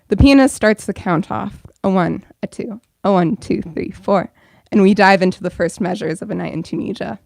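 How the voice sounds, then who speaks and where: A woman's voice: on the low side, vocal fry, a little mellow, no echo. A young woman, a bookstore events director, welcoming an audience and then reading her own fiction aloud at a store event.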